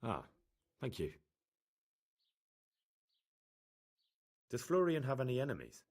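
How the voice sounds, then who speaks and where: A man's voice: mid-range voice, wildly swooping pitch, brisk, darkish tone. An actor playing an earnest, dogged young man investigating in a medieval game, plain-spoken and direct. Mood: polite, curious. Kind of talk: scripted game dialogue acting.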